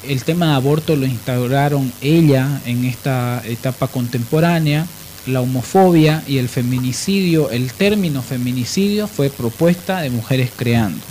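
A man's voice: mid-range voice, darkish tone, a little hiss or hum, low-quality recording. A man with a Bolivian accent hosting a radio show, explaining things emphatically and with opinion. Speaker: radio host. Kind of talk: radio discussion and biographical narration.

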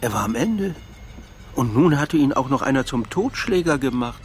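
An actor playing a reflective, philosophical man in a radio crime drama, arguing in a pensive, articulate and measured way. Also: medium pitch, expressive, close to an everyday voice. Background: faint background music.

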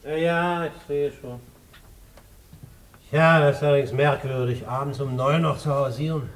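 Radio drama role: male actor as officious, suspicious householder, fairly high voice, pompous and reproachful. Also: fairly even intonation, normal speed, natural voice. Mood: stern, reproachful.

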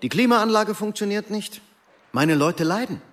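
A man's voice: high-pitched, animated, normal speed, a bit bright. A man acting a lonely, hesitant middle-aged American businessman who turns impatient at work, in a German radio drama. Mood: assertive. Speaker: actor in a radio play.

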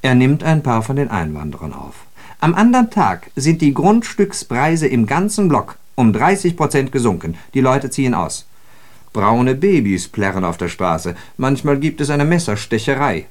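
An actor playing a sardonic, disillusioned socialist who observes city life in a radio drama, with ironic, declamatory and emphatic phrasing. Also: male voice, mid-range voice, very animated, moderate pace, lightly acted. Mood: sardonic, observant. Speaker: radio play speaker. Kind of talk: literary German radio drama monologue.

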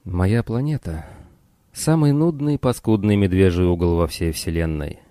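A roguish, wry man who roams between planets, played by a male audiobook reader. His voice is low and mellow, his manner teasing, and the recording is dry. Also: normal speed, no room sound, natural voice. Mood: bitter, candid. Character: wry roguish male space traveller, adult.

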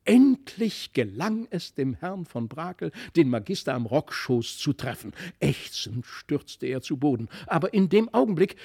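Casting: male storyteller, fairly high voice, dramatic, vivid literary narration. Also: darkish tone. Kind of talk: expressive literary fairy-tale narration.

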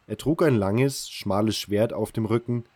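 A man's voice: lowish voice, a little slow, a little mellow, breathy edge, dry recording. A man reading scripted narration for an audio drama.

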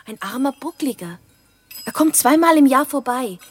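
A woman's voice: high voice, lively intonation, normal speed, husky. An actress playing a kind, naive, sheltered young lady with an eager air in a radio play. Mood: eager, fascinated.

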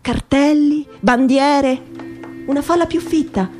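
A woman giving a dramatic literary reading in measured, emphatic phrases, in the style of radio theatre. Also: sits high, darkish tone. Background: music.

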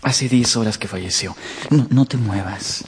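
An actor playing a cold, secretive young gentleman in a radio drama, speaking in a deliberate, controlled way. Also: lowish voice, a little hiss or hum, close to an everyday voice. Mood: grave, controlled. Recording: long reverberant hall.

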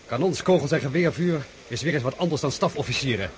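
An actor playing a proud, defiant military commander in a radio drama, indignant and clipped. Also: male voice, medium pitch, quick pace, a little hiss or hum, natural voice. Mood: indignant defiance.

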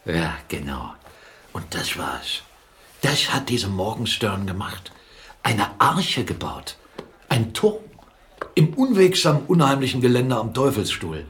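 Animated, forceful captain with a low voice. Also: male voice, measured pace, a little hiss or hum. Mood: musing, knowing. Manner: animated, swinging from murmur to exclamation. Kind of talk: German radio play dialogue.

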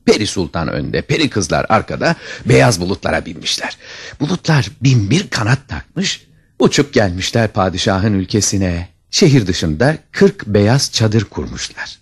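A man's voice: on the low side, very animated, measured pace, slightly rough. A man narrating a scripted fairy tale as a storyteller, with dramatic expression.

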